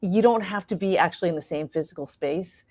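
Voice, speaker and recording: Lower-pitched woman, dry close sound. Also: fairly quick, a little mellow, no echo. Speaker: playwright and performer, interview guest. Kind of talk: casual conversational interview answers.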